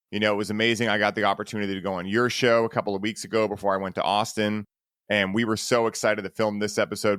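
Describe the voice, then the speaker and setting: A man with a fairly low voice hosts a casual podcast. He sounds enthusiastic and chummy, talking to his guest like a buddy. The sound is close and dry. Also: fairly bright tone, clean tone, no echo. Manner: enthusiastic, chummy, peppers talk with man and dude. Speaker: podcast host. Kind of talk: casual podcast interview introduction.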